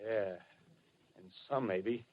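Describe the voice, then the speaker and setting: An actor playing a bitter, scheming militiaman survivor in 1930s radio drama, with an American accent and rough colloquial speech. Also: lowish voice, slow. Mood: scornful, brooding. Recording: dull old 1930s radio recording.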